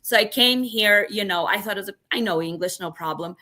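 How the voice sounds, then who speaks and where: A woman's voice: medium pitch, brisk, bright, forceful tone. A woman in her early forties from Ukraine, with a Ukrainian accent, casually telling her own story as a podcast guest and acting out remembered remarks as she goes. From western Ukraine. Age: early 40s.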